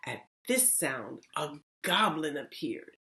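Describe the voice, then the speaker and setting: Woman, children's storytime host, lively varied intonation, unhurried deliberate read-aloud. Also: low-pitched. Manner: expressive, deliberate phrasing. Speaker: storytime host, reading teacher.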